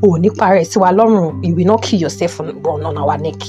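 A woman's voice: lowish voice, fast, music in the background, lightly acted. A woman playing a stern but caring older father in an audio drama, emphatic and admonishing, with a Nigerian accent.

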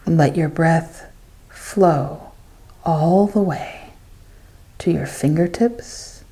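A woman leading a scripted devotional guided meditation, with hushed phrase endings and long pauses. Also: low-pitched, poor audio.

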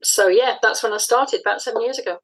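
A woman speaking as an interview guest, explaining things conversationally in a measured, reflective way with pauses between phrases. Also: a little high, breathy edge, poor audio. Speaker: interview guest, audio dramatist.

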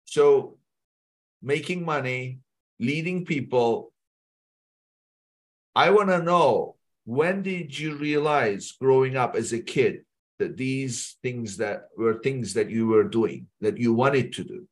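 A man's voice: medium pitch, expressive, takes it slowly. Blunt, laughing male podcast host. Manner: blunt, laughs easily, asks probing questions. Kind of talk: casual interview talk.

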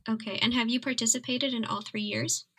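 A woman interviewing a guest in a relaxed chat, asking curious questions and giving short encouraging replies. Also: on the high side, a little fast, husky, poor audio. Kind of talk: casual interview.

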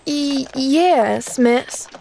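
A woman's voice: on the high side, takes it slowly, soft background music. A woman voicing a kind-hearted, flustered hired servant in a storybook, stammering in plain folksy speech. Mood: obliging. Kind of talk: storybook character voice.

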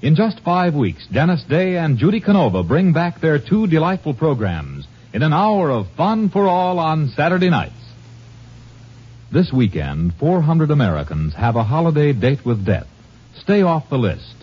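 A man's voice: a little high, very animated, moderate pace, husky. A man reading scripted announcements for a 1950s radio network. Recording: muffled vintage radio broadcast.

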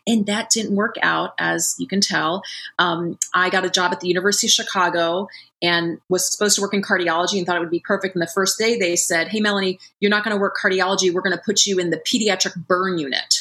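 A woman's voice: on the low side, fairly even intonation, quick pace, bright, forceful tone, poor audio. A woman in her forties from Ohio, a podcast guest telling her career story in a chatty way with many restarts. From Columbus, Ohio. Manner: chatty, frequent restarts and fillers. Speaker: guest, lactation company founder. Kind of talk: conversational podcast interview.

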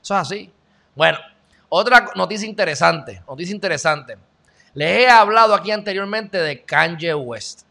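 A young Puerto Rican man chats sarcastically through a live stream. His voice is bright, forceful and sits a little high for a man. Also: expressive, normal speed, gravelly. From Puerto Rico. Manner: chatty, sarcastic, greets viewers by name. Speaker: channel host. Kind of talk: casual live-stream news chat.

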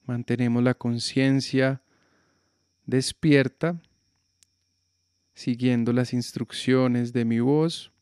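A man guiding a yoga nidra relaxation with slow, calm, soothing instructions. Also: medium pitch, clean tone, dry recording, clean studio sound. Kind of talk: guided relaxation meditation.

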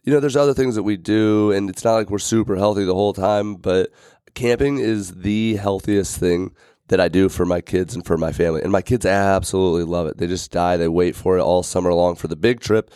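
Low, dry-voiced podcast host, slightly raspy. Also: male voice, fairly even intonation, a little fast, dark tone, no room sound. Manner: rambling, many fillers and restarts. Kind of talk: casual podcast monologue.

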